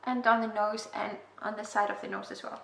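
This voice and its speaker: Icelandic-accented woman with a gravelly edge. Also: medium pitch, level tone, fairly quick, fairly bright tone. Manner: chatty, self-deprecating, giggly asides. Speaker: makeup vlogger. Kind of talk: casual makeup tutorial. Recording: echoey room with faint hiss.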